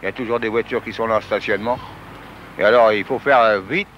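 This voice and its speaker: A man's voice: fairly low pitch, fairly even intonation, quick pace. A man of sixty-five, a delivery worker answering in plain, colloquial, resigned phrases. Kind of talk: spontaneous street interview. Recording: dull 1960s archive, street noise. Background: street and car noise.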